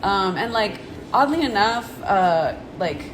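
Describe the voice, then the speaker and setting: A young woman with a husky, rough voice, talking casually and slangily in a bar about her band, often laughing. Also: mid-range voice, lively intonation, slow, noisy background. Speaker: band member. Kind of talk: casual chat.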